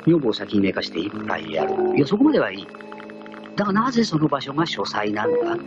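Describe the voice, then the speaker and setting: An actor playing a cocky, proud gentleman thief, narrating his own exploits theatrically in a radio drama. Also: medium pitch, huge pitch swings, fast talker. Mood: shrewd, composed. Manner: theatrical, self-assured storytelling. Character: cocky gentleman thief, proud self-styled genius, wry. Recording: dull lo-fi archive radio recording, loud music.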